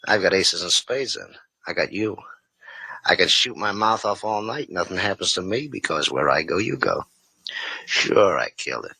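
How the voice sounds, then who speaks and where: A cocky male killer from an old radio crime show, sneering and wisecracking. His voice is low, hard-edged and raspy, lurching widely as he taunts. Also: animated, normal speed, bright, forceful tone, dry recording, exaggerated voice, poor audio. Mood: cold, cocky. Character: sneering, cocky gangster killer with a mocking drawl. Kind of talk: old-time radio crime drama acting.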